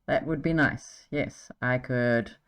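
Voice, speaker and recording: A woman's voice: very deep voice, takes it slowly, clear, resonant tone. A woman with a South African accent, giving an exam-tips English lesson in an encouraging way, checking in with "okay" as she goes. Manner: encouraging, uses okay and right often. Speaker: English teacher.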